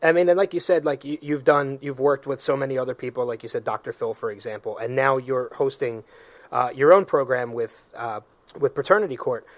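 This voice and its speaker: A man's voice: mid-range voice, a little fast, no room sound. A man interviewing a guest for a radio show, asking eager, rambling questions with fillers.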